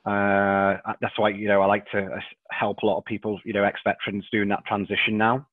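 A man in his thirties with a Liverpool accent, a podcast guest who talks haltingly and repeats words and fillers. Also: on the low side, monotone, no echo. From England. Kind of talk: casual interview chat. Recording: dry tinny phone-like line.

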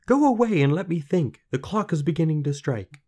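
A man's voice: animated, a little fast. A man voicing an anxious young fairy-tale girl, plaintive and pleading in manner. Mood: impatient. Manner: plaintive, higher and louder than narration.

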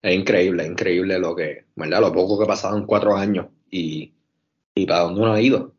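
A man's voice: deep voice, steady tone, fairly quick. A young man from Puerto Rico with a Puerto Rican accent, a basketball coach answering interview questions earnestly, often repeating words. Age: young adult. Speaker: basketball coach and video coordinator. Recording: dull, muffled audio.

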